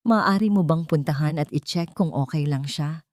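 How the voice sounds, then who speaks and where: A wealthy, guarded male employer in an audio story, performed by a woman narrator. He sounds measured and casual while quietly probing with suspicion. The voice sits fairly low and is recorded dry. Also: no room sound, close to an everyday voice. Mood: calculated calm.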